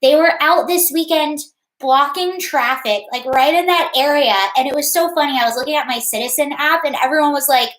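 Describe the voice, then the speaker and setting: A chatty woman with a very high, bright-sounding voice runs a casual livestream, rambling between topics and greeting viewers by name. She talks into a home setup, and the room gives her voice a noticeable echo. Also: brisk. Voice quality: high-pitched. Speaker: livestream host.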